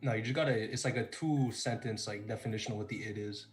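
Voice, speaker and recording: A man chatting casually with a student breakout group on a video call, slangy and excitable. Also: on the low side, fast, soft background music. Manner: slangy, excitable, laughs loudly. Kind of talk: casual chat.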